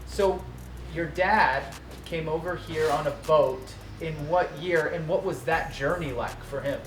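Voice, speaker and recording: A man's voice: a little high, a little slow, roomy sound, a little hiss or hum. A man interviewing a guest for TV, friendly and asking leading questions. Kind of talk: broadcast interview.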